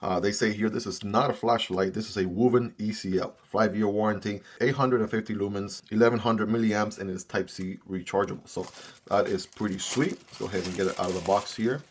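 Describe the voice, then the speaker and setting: A man's voice: fairly low pitch, steady tone, fairly quick. A man whose first language is Spanish, doing a casual, upbeat unboxing review and often tagging "right?" onto his sentences. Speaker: product reviewer.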